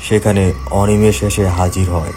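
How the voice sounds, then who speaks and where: A man's voice: sits low, flat delivery, normal speed, over music. A man reading scripted narration for a horror story.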